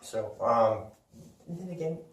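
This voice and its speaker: A man's voice: medium pitch, animated, a little slow, dull audio. An American man chatting casually as a podcast co-host, in an enthusiastic, rambling manner. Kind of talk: casual chat about games and films. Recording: roomy echo, distant microphone.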